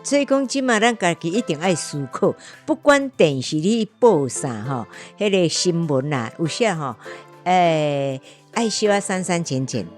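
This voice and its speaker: A woman's voice: on the low side, lively intonation, moderate pace, hardly any pauses, a little mellow, soft background music. A woman with a Taiwanese accent co-hosting a podcast in casual chat, putting in short reactions and plain-spoken remarks. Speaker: podcast co-host.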